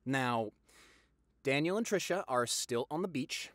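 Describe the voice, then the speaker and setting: A man playing a popular boyfriend eagerly telling gossip to his partner in a conversational audio roleplay, with dramatic pauses and repeats for emphasis. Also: mid-range voice, lively intonation, fairly bright tone, dry recording, studio quality. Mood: storytelling, suspenseful.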